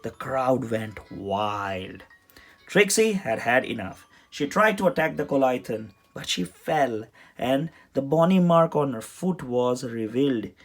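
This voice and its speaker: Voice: mid-range voice, animated, unhurried. A children's storybook reader giving a scripted read-aloud narration. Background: music under the voice.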